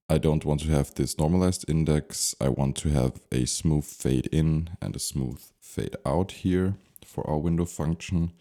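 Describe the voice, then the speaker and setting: Very deep, creaky-voiced man teaching. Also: mellow. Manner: thinking aloud, step by step. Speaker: tutorial instructor. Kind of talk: unscripted software tutorial narration.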